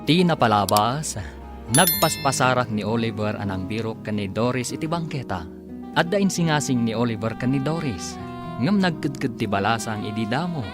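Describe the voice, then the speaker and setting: Animated male radio drama announcer over music. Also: medium pitch, normal speed. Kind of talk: scripted dramatic radio narration. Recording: music under the voice. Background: guitar and instrumental music.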